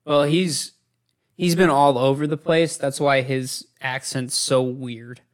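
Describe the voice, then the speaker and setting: A man's voice: medium pitch, flat delivery, slow pace. A young adult man chatting casually as a podcast co-host. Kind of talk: casual chat.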